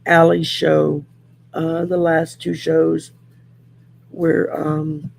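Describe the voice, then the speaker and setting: A middle-aged woman with a growly, gravelly voice from throat surgery, chatting casually on a livestream while pulling tarot cards, rambling and restarting often. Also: sits low, level tone, extremely slow, darkish tone. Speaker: host and tarot card reader. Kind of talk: casual livestream chat with card reading.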